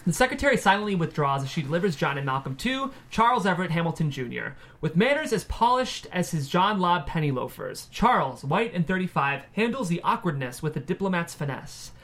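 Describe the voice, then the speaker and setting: Guy reading out script stage directions as narrator, slightly high voice. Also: sing-song, brisk, hardly any pauses, a bit bright.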